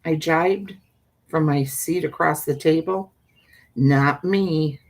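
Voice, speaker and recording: An older woman with a husky voice and a folksy, meandering manner, talking as a storyteller hosting her own live stream. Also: low voice, slow pace. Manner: folksy, meandering, often trailing off and repeating. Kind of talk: casual rambling chat with viewers; reading a spooky story aloud.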